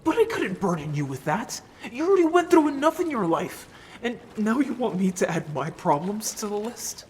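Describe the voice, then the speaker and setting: A man's voice: sits high, big pitch swings, moderate pace, a little hiss or hum. A man playing a guilt-ridden, anxious young merman in a fantasy audio drama, speaking hesitantly and emotionally. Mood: guilty, distressed. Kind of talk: scripted audio drama acting.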